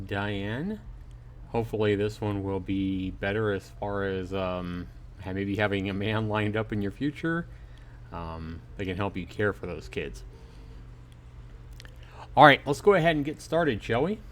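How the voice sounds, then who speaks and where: A man hosting a casual livestream, chatting informally and in a friendly way, addressing viewers by name. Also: fairly low pitch. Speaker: livestream host. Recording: clearly noisy home livestream.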